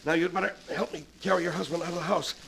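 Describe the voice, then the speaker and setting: Serious take-charge doctor in a 1940s radio thriller, fairly high voice, very dramatic. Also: male voice, steady tone, vocal fry, very noisy. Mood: urgent. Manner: deliberate, authoritative, melodramatic. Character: grave, commanding doctor battling a cursed spirit. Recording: vintage 1940s radio broadcast.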